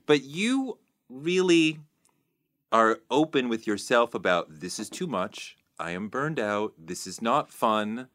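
A man's voice: mid-range voice, sing-song, takes it slowly, a bit bright, no room sound, clean studio sound. A man hosting a podcast interview, chatty and playfully teasing his guest. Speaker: podcast host. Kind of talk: casual interview chat.

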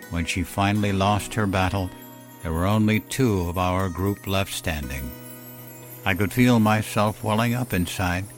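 A man narrating a scripted first-person story in a measured, solemn, reflective way, as on a fiction podcast. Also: deep voice. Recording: music behind the voice. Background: clear music bed.

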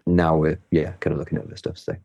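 A man's voice: extremely low pitch, flat delivery, fast, dark tone, dry recording, highs cut off. A man being interviewed as a guest, chatty and hesitant, with lots of fillers and easy laughs. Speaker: guest climber. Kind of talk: casual interview chat.

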